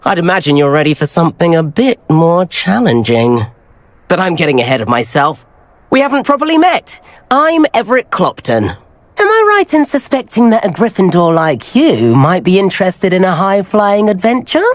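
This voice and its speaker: Lively, well-spoken schoolboy. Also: male voice, high-pitched, normal speed, no echo. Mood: eager, inviting. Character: friendly, eager, adventurous teenage schoolboy. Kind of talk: video game character acting.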